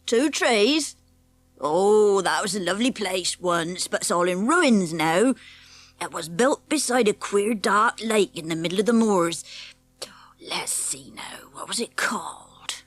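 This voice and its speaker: A woman's voice: medium pitch, lively intonation, unhurried, no echo. A woman voicing a kindly, wistful old country granny in a children's audiobook. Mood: wistful, musing. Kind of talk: children's audiobook character acting.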